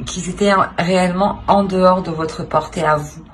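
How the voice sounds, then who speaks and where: A woman's voice: fairly low pitch, fairly even intonation, moderate pace, a little hiss or hum, rough recording. A woman giving an improvised tarot reading to viewers, speaking hesitantly and often repeating and rephrasing as she searches for words. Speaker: tarot reader, channel host.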